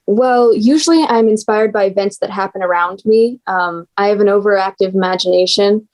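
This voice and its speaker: A teenage female writer is being interviewed. She answers casually in a voice of average pitch for a young woman. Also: moderate pace, a little mellow. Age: teens.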